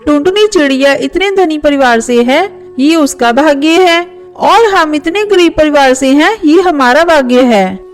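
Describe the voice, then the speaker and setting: Woman as a high-voiced gentle mother bird. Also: lively intonation, a little slow. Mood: consoling. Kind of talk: Hindi children's cartoon dialogue. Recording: faint music under voice. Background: faint music.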